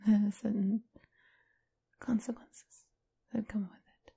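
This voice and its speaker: A woman playing a teasing, doting, seductive vampire mistress in a whispered, breathy ASMR roleplay. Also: medium pitch, level tone, mellow, heavily acted. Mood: playful, seductive. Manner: slow, teasing, affectionate purr.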